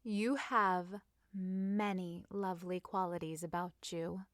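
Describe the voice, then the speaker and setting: A giddy young Regency lady from a romantic story, acted by a woman narrator. She speaks in a dreamy, drawn-out way, playful and unguarded. The sound is dry and close. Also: fairly low pitch, fairly even intonation, no room sound. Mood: dreamy, affectionate.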